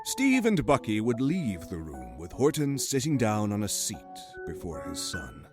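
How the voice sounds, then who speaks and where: A man's voice: fairly low pitch, huge pitch swings, a little slow, over music. A man narrating an audio drama from a script in a dramatic storytelling style.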